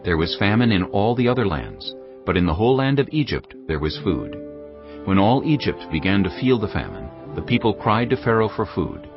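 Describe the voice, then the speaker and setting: A man's voice: fairly low pitch, very animated, a little fast. A man giving scripted narration for a dramatized scripture recording. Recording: dull audio with clear music.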